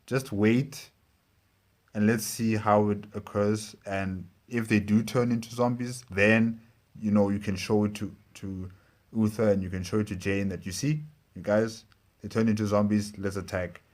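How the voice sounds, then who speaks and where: A man giving casual reaction commentary as a show host, speaking haltingly and repeating phrases. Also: lowish voice, fairly even intonation, darkish tone.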